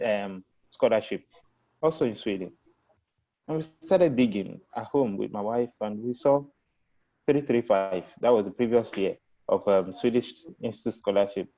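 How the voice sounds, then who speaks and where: Nigerian man, low mellow voice, measured panel talk with pauses, slightly dull call audio. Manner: hesitant, reflective, many fillers. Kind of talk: panel discussion.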